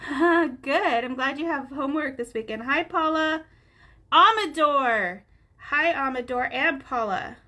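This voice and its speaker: A woman's voice: sits high, a little slow, pushed and bright. Chatty woman with a stuffy nasal voice. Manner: chatty, talks to viewers by name while coloring. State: stuffy nose, sounds nasal. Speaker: craft instructor on a live stream. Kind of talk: casual live coloring tutorial.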